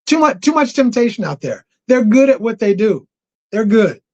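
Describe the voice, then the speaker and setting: Animated man hosting a livestream. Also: sits high, normal speed. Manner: animated, repeats himself for emphasis. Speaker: livestream host. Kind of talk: casual livestream talk. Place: home. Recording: dull lo-fi stream audio.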